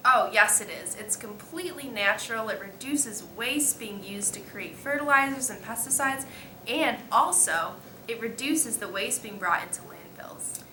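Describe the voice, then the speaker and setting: A female student giving halting, scripted explanations as an expert guest in a mock interview. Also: on the high side, lively intonation, sharp tone. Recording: echoey room with faint noise.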